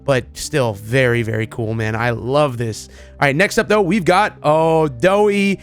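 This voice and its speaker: A man giving casual, excited commentary as a gaming video creator, rambling in an informal, enthusiastic way. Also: mid-range voice, measured pace, faint music behind.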